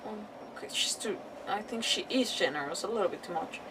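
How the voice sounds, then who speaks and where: A woman casually commentating on a live game playthrough, thinking aloud in an informal, expressive way. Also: medium pitch, brisk, a little hiss or hum. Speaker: game streamer. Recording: distant-sounding mic with game music behind. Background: game music.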